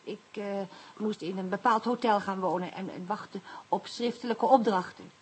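A woman's voice: mid-range voice, moderate pace, breathy edge, lightly acted. An actress playing a nervous, evasive young woman under questioning in a radio drama, hesitant, stammering and defensive.

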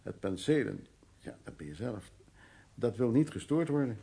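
A middle-aged art restorer in a radio drama. His voice is somewhat husky and sits at an ordinary male pitch. He is brusque and musing, hesitating and repeating words as he goes. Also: very animated, normal speed, warm tone. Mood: reserved, hesitant. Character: Dutch art restorer, brusque, haunted by wartime memories. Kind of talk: naturalistic radio drama acting.

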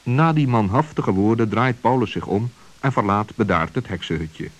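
A man narrating a children's radio play with expressive, storybook delivery. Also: lowish voice, normal speed.

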